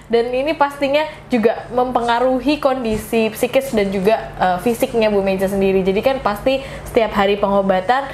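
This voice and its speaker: A woman's voice: on the high side, moderate pace, hardly any pauses, a little mellow, a little hiss or hum. A woman hosting an interview podcast, asking questions and affirming her guest often. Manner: encouraging, frequent affirmations. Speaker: podcast host.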